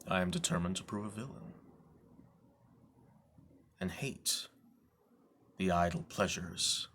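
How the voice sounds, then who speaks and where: A man performing a bitter, scheming, deformed villain duke in a dramatic, deliberate Shakespearean soliloquy. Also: low voice, slight background noise, heavily acted. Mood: scheming, resentful. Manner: dramatic, deliberate, swinging from declaiming to hushed whisper.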